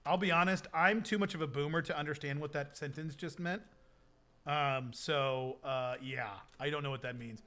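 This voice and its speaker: A man's voice: fairly high pitch, moderate pace, bright, forceful tone. A man with a Midwestern American accent, rambling through casual live-stream chat with many restarts and frequent chuckles. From United States.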